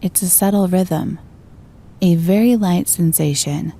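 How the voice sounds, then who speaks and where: A woman gently guiding a meditation, giving slow, soothing instructions. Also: on the low side. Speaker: meditation guide. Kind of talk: guided meditation.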